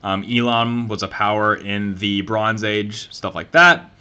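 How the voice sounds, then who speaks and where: Bright-voiced Southern US man, chatty. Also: lowish voice, level tone, a little slow, clean tone, highs cut off. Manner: chatty, conversational, many ums and uhs. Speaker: YouTube channel host. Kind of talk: casual history commentary.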